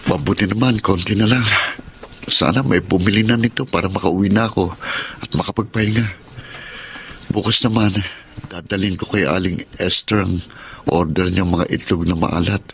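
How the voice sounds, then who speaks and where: A man's voice: low-pitched, fairly even intonation, normal speed, long pauses, a little hiss or hum. A man playing an earnest, polite young street vendor with a Filipino accent in a radio drama. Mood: hopeful, musing. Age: young adult. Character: earnest, hardworking young male egg vendor, eldest son. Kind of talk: Filipino radio drama acting with first-person narration.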